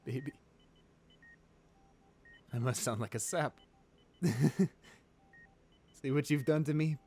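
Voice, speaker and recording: A man's voice: medium pitch, darkish tone. Man acting an anguished, devoted ruthless lover. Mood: broken pleading. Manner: pleading, intimate, halting and emotional. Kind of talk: romantic ASMR roleplay audio drama.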